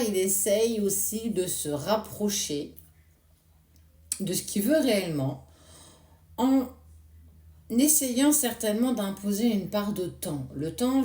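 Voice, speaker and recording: A woman, hoarse this morning, giving a casual tarot reading and speaking reassuringly straight to the viewer. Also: fairly low pitch, mellow, echoey room. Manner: addresses viewer directly with soothing reassurance. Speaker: tarot card reader.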